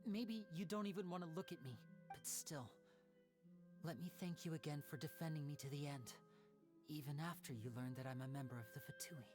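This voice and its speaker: An actor playing a sincere young male magician in a video game, soft-spoken, earnest and polite. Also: medium pitch, fairly quick, close to an everyday voice. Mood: earnest, apologetic. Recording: game music clearly behind.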